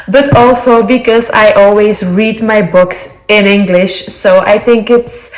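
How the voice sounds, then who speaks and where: A woman from Belgium, a Dutch native speaker with a Belgian Dutch accent, chatting casually and apologetically to camera from her living room floor. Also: a little high. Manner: apologetic, gushing, searching for words. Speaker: book vlogger. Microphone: earbuds. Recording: roomy home audio on earbuds.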